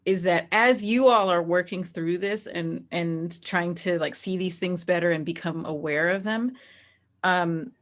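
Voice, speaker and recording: Woman, slightly low voice, candid interview guest. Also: measured pace.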